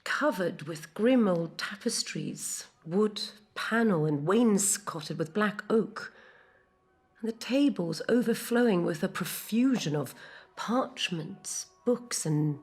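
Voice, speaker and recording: A slow, spellbound female storyteller. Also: mid-range voice, animated. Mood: hushed wonder. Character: spellbound female storyteller recalling a wondrous cluttered study. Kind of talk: audio drama narration.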